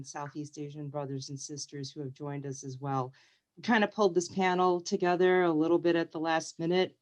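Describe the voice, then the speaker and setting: A woman's voice: low-pitched, darkish tone. A woman professor speaking on a panel over a video call, friendly and reflective with some fillers. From United States. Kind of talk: panel discussion.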